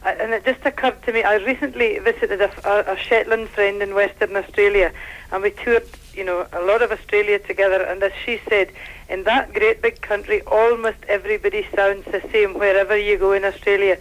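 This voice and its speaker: A woman's voice: medium pitch, fairly even intonation, brisk, dull audio. A woman on a radio panel, telling an anecdote from her travels. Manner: warm anecdotal recollection. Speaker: panel guest. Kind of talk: panel discussion.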